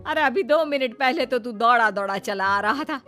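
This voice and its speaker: A woman playing a doting, stubborn middle-aged mother-in-law in a cartoon story, with exclaiming, drawn-out homely speech. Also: a little high, lively intonation, pushed and bright, soft background music. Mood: scolding. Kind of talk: Hindi cartoon story acting.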